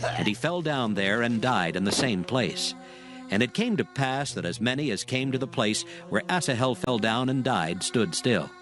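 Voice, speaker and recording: Casting: male narrator, mid-pitched, solemn and measured, reading dramatized scripture with music underneath. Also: lively intonation. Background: background music.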